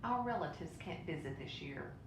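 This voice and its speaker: A woman's voice: expressive, normal speed. A woman voicing a courteous male owl teacher in a children's storybook. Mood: matter-of-fact. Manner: polite, courteous storybook dialogue. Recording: roomy echo, clear low rumbling noise.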